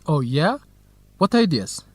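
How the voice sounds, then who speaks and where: An earnest young male student in a radio drama. His pitch moves in lively swings over careful enunciation. He comes across as eager and a little nervous, recorded very dry. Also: slow pace, slightly rough, dry recording, exaggerated voice. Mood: friendly, curious. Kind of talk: educational radio drama dialogue, simple clear English.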